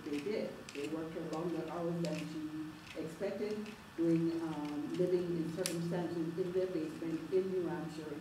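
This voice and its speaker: A middle-aged woman with a Jamaican accent, giving an earnest, halting town hall speech full of fillers and restarts. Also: lowish voice, distant mic. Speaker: civil rights advocate speaking at a town hall. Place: public meeting hall. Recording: roomy hall recorded from afar, noisy.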